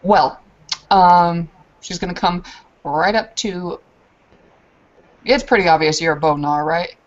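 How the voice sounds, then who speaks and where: A woman's voice: lowish voice, slow pace, dull audio. A woman narrating a roleplaying scene casually, with a hesitant, informal way of talking.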